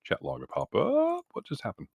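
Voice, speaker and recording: A man's voice: a little high, wildly swooping pitch, normal speed, gravelly, darkish tone, breathy edge, no echo. A man chatting casually as a player in a tabletop game, with a blunt, wry, sweary manner.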